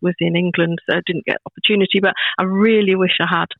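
A woman in her fifties, a proud grandmother, chatting as a podcast guest in a warm, rambling way with many restarts. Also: lowish voice, steady tone, fast talker, no echo. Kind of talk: casual chat. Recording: tinny phone-like line, dry.

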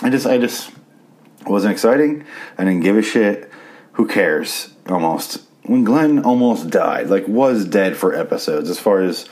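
Raspy, very low-voiced male podcaster. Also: expressive, unhurried, darkish tone. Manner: crude, sarcastic, rambling. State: a little sick, raspy voice. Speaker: podcast host reviewing a TV series. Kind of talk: casual solo podcast review.

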